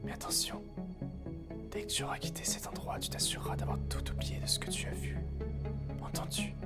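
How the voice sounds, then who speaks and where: A man's voice: fairly quick, whispered, lightly acted. Casting: man playing young crime-family brother, theatrical menace with a flippant streak, audio fiction, music underneath. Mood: hushed command. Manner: mocking, theatrical threats. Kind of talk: French audio drama acting.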